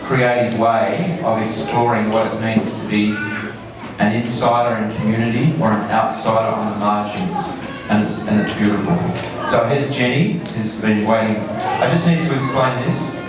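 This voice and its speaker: Low-voiced man in a very echoey hall. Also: fairly even intonation, moderate pace. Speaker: school staff member introducing a show. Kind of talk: informal live introduction speech. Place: echoey indoor venue before an audience. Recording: echoey hall, distant mic, audience sounds.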